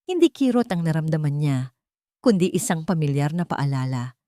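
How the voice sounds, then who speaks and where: Expressive woman narrating a dramatic story. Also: sits low, dry recording, clean studio sound. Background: music.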